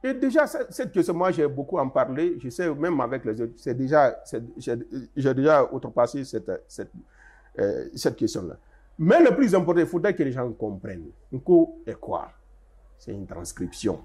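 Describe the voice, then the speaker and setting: A man's voice: medium pitch, big pitch swings, moderate pace, a little mellow, husky. An older man, a translator, answering interview questions in an emphatic, explanatory way, with stressed rises and frequent restarts. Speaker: guest, Arabic translator.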